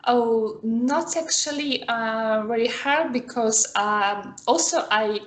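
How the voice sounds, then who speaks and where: A woman's voice: on the high side, takes it slowly, roomy sound, rough recording. A woman whose first language is Spanish, a student in an online lesson, talking hesitantly with many fillers. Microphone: video call.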